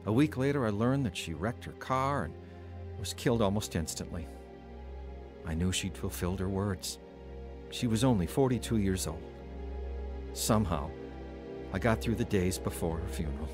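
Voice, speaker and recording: A man's voice: on the low side, normal speed, pauses often, over music, natural voice. An actor playing a troubled, wavering young man telling his life story in a radio drama. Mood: rueful recounting. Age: young adult. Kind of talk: radio drama narration and dialogue.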